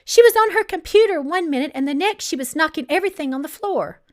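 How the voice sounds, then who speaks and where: A panicked factory woman , put on by a woman reading aloud from a novel. She is loud and urgent, pitched very high with big swings. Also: expressive, quick pace. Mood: frantic. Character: frantic female factory worker, yelling in panic.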